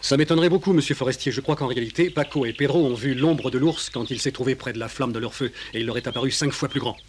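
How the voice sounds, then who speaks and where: Man playing a brisk, stern, scornful rancher. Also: medium pitch, slight background noise, lightly acted. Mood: suspicious. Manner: scolding, insistent. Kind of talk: French cartoon dub acting.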